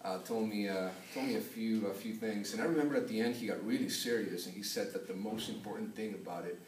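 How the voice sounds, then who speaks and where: A young man from Peru telling an unscripted personal story as a class speech, halting and full of filler sounds. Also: on the low side, darkish tone, slight background noise. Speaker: student giving a class speech. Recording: echoey room, voice from a distance.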